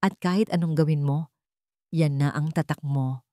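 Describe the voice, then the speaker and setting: A woman's voice: low voice, fairly even intonation, normal speed, warm tone, dry recording, clean studio sound, lightly acted. A woman voicing an arrogant wealthy man who sneers and threatens. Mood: menacing.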